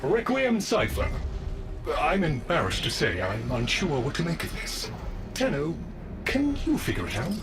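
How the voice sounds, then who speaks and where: A man's voice: a little high, wildly swooping pitch, a little slow, music in the background, full character voice. A man voicing a courteous, earnest, fretful robot servant in a video game, speaking formally and precisely. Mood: puzzled.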